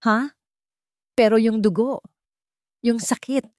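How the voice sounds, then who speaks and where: A woman's voice: fairly high pitch, steady tone, takes it slowly, darkish tone, no room sound, clean studio sound, voice pushed up. A woman voicing a desperate, anguished young man in an audio drama, pleading dramatically. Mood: bewildered.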